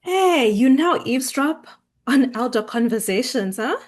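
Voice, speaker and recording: A woman acts a protective mother in a rural stage drama. Her voice sits a little high and moves at a very slow, deliberate pace. She is stern and emphatic, with worry underneath. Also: expressive. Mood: scolding. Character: anxious, stern Kenyan village mother, protective of her daughter. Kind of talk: staged script read of a drama.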